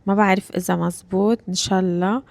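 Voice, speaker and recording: A Lebanese woman in her thirties, with a Lebanese Arabic accent, talks slowly and carefully for language learners. She repeats her phrases so they sink in and pauses to check that listeners follow. Her pitch is medium and the recording is dry and close, with no room sound. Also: steady tone, creaky, darkish tone, dry recording. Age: 30s. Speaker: Arabic teacher and podcast host. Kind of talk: slow, clear podcast lesson for learners.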